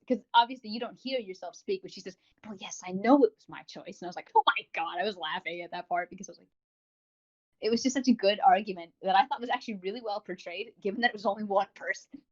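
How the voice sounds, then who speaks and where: A woman's voice: medium pitch, fast talker. A woman co-hosting a podcast in a casual review chat, rambling along with enthusiasm. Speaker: podcast co-host. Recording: dull lo-fi audio.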